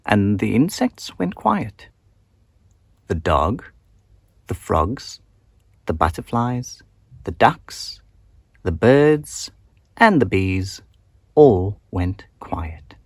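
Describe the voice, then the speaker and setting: A man narrating a children's picture book aloud in an expressive, theatrical and at times hushed storytelling style. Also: on the low side, drawn-out words, pauses often, slightly rough, no echo. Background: nature and insect sound effects.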